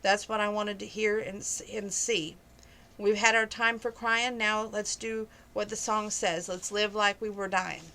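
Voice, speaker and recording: A woman's voice: mid-range voice, flat delivery, measured pace, bright, forceful tone. A woman reading aloud, voicing a bossy rancher father in a storybook. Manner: bossy, commanding.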